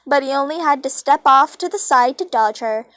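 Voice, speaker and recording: A woman's voice: high voice, normal speed, fairly bright tone, no echo, studio quality. A young woman reading a story aloud casually, with informal, self-deprecating, giggly asides. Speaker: fan fiction reader. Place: dry, close recording on a phone.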